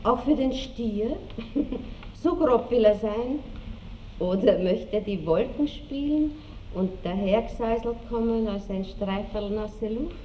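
Elegant noble lady, teasing n ironic, highish voice, muffled old radio play. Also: lively intonation, takes it slowly, far from the mic. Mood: amused teasing. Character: worldly aristocratic lady, teasing, elegant, self-assured. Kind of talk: classic radio drama acting. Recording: muffled, noisy 1960s radio drama recording.

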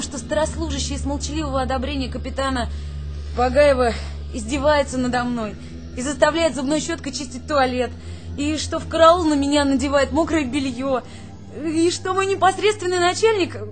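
A woman playing a sensitive, artistic young army conscript who has turned into a girl, earnest and plaintive in a radio drama. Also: lightly acted. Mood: indignant, anguished. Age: young adult. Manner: earnest, plaintive, high-flown intellectual speech.

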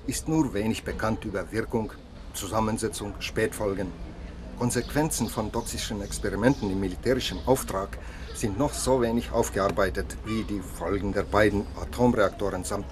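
An actor playing a courteous, businesslike police investigator in a radio drama, speaking in a measured, matter-of-fact way. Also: male voice, on the low side, darkish tone, a little hiss or hum, close to an everyday voice. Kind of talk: German radio drama dialogue.

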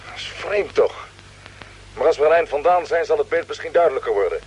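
A man acting a steady spaceship fleet commander in an old radio drama, speaking in a brisk, authoritative way. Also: fairly high pitch, slightly rough. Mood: brisk, in control. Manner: brisk, authoritative, giving orders. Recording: muffled vintage radio drama recording.

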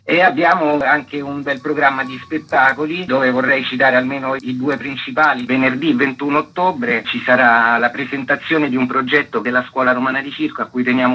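A man explaining things as a guest in a radio interview. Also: medium pitch. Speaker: festival co-artistic director, interview guest. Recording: dull lo-fi radio line with clear noise.

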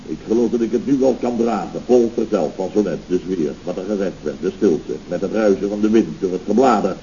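An actor playing a pompous, touchy middle-aged boss of a building firm, blustering theatrically in an old radio comedy. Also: male voice, low-pitched, brisk, warm tone. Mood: excited, boastful. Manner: blustering, theatrical, muddles words and sayings. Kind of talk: 1970s Dutch radio comedy acting. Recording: muffled, hissy old radio recording.

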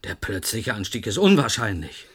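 Insistent, dismissive professor. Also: male voice, lowish voice, very animated, moderate pace, fairly bright tone, husky, exaggerated voice. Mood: irritated, commanding. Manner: emphatic, lecturing. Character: insistent, dismissive male scientist professor in a future. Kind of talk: German radio drama acting.

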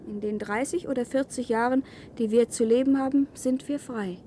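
A woman's voice: fairly high pitch, fairly even intonation, moderate pace, dark tone, slight background noise, lightly acted. An actress playing a proud young woman of an outland people in a science-fiction radio play.

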